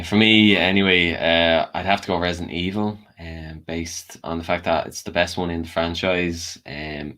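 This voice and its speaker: Low voiced guy, guest on a casual debate show, just chatting. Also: fairly bright tone. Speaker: guest panelist. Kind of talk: casual film debate chat.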